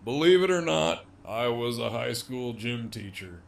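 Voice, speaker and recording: A man acts out an imprisoned gang leader in an audio drama, cocky and wryly amused. His voice is gravelly with heavy creak. He takes his time over each line, drawling with swagger and quiet menace. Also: medium pitch, expressive, measured pace. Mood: sly, amused. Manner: swaggering, foul-mouthed, drawling.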